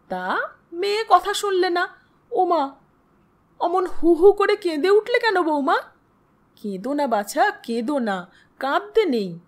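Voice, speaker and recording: A woman narrator acts out a kindly older village aunt from a storybook. She fusses, coaxes and chides in homely talk, her high voice rising and falling in lively swings. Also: heavily acted. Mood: alarmed, soothing. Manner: homely, coaxing, colloquial chiding.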